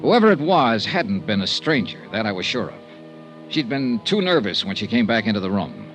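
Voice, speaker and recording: An actor playing a cynical, world-weary insurance investigator with an American accent in an old-time radio detective drama. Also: male voice, on the low side, sing-song, normal speed. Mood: weary, brooding. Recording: vintage radio recording, faint music.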